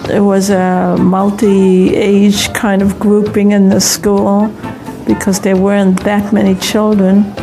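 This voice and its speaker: A woman's voice: medium pitch, level tone, takes it slowly, dark tone, soft background music, low-quality recording. An older woman being interviewed, recalling her childhood. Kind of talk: unscripted recollection.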